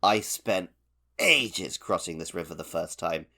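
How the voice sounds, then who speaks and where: A man with a fairly low-pitched voice talks as a podcast co-host. His delivery is informal and conversational, the kind used for talking through a video game with friends. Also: lively intonation, measured pace, sharp tone. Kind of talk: casual video game discussion.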